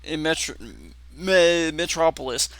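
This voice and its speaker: A man with a fairly high voice gives casual reaction commentary over heavy background noise. He reads text aloud, stumbles, repeats himself and drops in swearing as he comments. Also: unhurried. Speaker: reaction video host. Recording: clearly noisy, loud background rumble.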